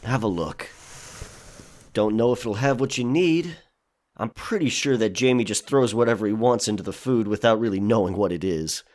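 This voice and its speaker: A man's voice: lowish voice, normal speed, darkish tone, lightly acted. A man playing a terse, watchful, sceptical pirate in an audio drama, with short questioning lines. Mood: offhand, sceptical. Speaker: voice actor in a full-cast audio drama.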